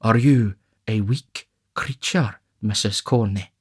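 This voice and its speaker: A man's voice: lowish voice, a little slow, breathy edge. A man voicing a pompous, self-important parish beadle in a storybook, stately, grave and solicitous. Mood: soothing, courting.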